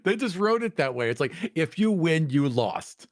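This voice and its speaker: A man's voice: on the high side, sing-song, normal speed, no echo, clean studio sound. A man co-hosting a podcast in casual, conversational back-and-forth. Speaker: podcast co-host.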